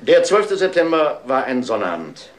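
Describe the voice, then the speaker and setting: An actor playing a persistent, shrewd male police inspector in a German crime radio drama, probing with dry, deliberate insinuation. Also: medium pitch, very animated, a little hiss or hum, lightly acted. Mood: pressing.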